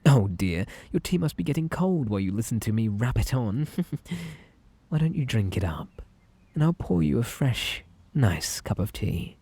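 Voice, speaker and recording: A man playing a possessive, unhinged magical bunny boy in an ASMR roleplay, with a British accent, a breathy voice and a theatrically polite, coaxing, menacing manner. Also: medium pitch, very animated, a little slow, mellow, exaggerated voice. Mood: cloyingly cheerful hospitality.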